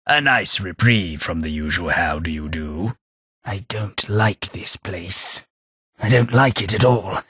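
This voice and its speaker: Man voicing a ghoul aristocrat, English accent, husky low voice, bright edge, slow deliberate pace, prim and sarcastic. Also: dry recording, studio quality, exaggerated voice. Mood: sardonic. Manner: prim, snobbish, wryly sarcastic. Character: posh, sardonic old male ghoul aristocrat. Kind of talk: game companion voice lines.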